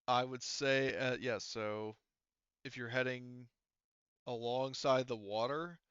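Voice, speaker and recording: A man narrates a tabletop game as game master in a bright, smooth voice. He explains casually and haltingly, circling back with asides. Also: medium pitch, fairly even intonation, no echo, lo-fi sound.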